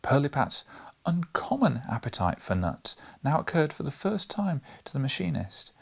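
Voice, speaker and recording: A man reading a children's classic aloud as an audiobook narrator, measured and dramatic in his storytelling. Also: fairly high pitch, very animated, vocal fry, husky.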